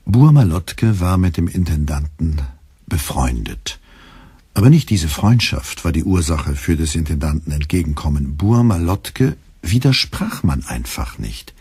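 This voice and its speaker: Deep, husky male narrator. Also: no echo. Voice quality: calm, measured storytelling. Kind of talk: scripted literary narration.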